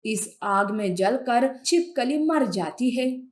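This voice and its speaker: Slow, high-voiced woman storyteller. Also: lively intonation. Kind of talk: scripted narration of children's animal fables.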